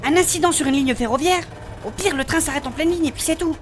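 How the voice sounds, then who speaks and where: A woman's voice: high-pitched, fast talker, slight background noise, natural voice. A woman playing a matter-of-fact adventurer with an explanatory, corrective manner in a French fantasy comedy audio drama.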